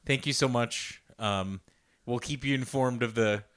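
A man's voice: medium pitch, a little slow, fairly bright tone, clean tone, no room sound. A man co-hosting a podcast in casual chat, laid-back and agreeable, often answering in short replies. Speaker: podcast co-host.

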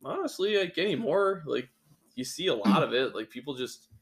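A man's voice: on the high side, lively intonation, normal speed. An American man of about thirty chatting casually as a podcast co-host in an informal, slangy way. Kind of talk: casual chat.

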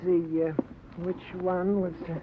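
Fussy chatty little New shopkeeper. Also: male voice, high voice, little pitch movement, unhurried. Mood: obliging, talkative. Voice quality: hesitant, wordy, mock-formal phrasing. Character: chatty, fussy, good-natured little male candy store owner. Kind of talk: 1940s-50s radio drama acting. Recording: muffled, noisy old radio recording.

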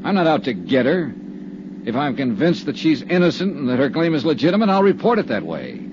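An actor playing a tough, sardonic American insurance investigator with brisk, clipped delivery in a 1950s radio drama. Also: male voice, a little high, very animated, noisy background. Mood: challenging, sardonic. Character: wry, tough, persistent male insurance investigator. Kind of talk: 1950s radio drama acting and scripted narration. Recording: dull vintage 1950s radio recording.

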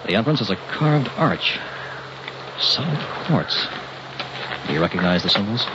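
An actor playing a cautious, uneasy scientist's associate in an old-time radio drama, speaking in wary, clipped lines. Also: male voice, on the low side, animated, unhurried. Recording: muffled, noisy vintage radio recording.

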